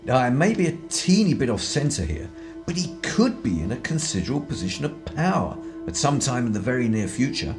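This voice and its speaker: A man's voice: medium pitch, big pitch swings, fairly quick, music in the background, natural voice. A man playing a cocky, scheming spy in a radio drama, with arch, wordy, old-fashioned banter. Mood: sly scheming. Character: cocky, scheming young-at-heart spy, calculating and theatrical.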